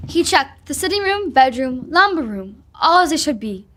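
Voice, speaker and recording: A woman's voice: high voice, very animated, normal speed, slight background noise. A young woman reading scripted story narration for an old-time radio drama. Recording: loud music under the voice.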